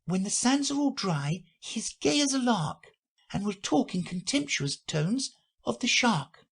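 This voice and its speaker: A man voicing a polite, curious young girl who speaks with a timid, wondering manner and a husky edge. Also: very high voice, sing-song, unhurried, pitched above the performer's own voice, full character voice. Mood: reciting.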